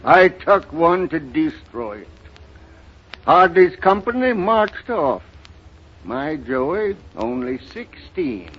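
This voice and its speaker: An actor playing a sorrowful old rural American grandfather, telling his story haltingly in a folksy, dramatic 1930s radio style. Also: a little high, a bit bright, full character voice. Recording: muffled, noisy 1930s radio recording.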